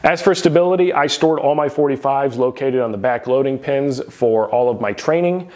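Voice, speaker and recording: A man's voice: medium pitch, moderate pace, darkish tone. A man in his thirties, seated in a garage gym, giving a detailed, plain-spoken equipment review to camera.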